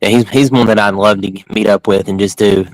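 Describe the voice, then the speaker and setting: A man, hosting a podcast in a laid-back, halting way with frequent restarts. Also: fairly low pitch, fairly even intonation, no room sound, rough recording. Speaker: podcast host. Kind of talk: casual podcast chat.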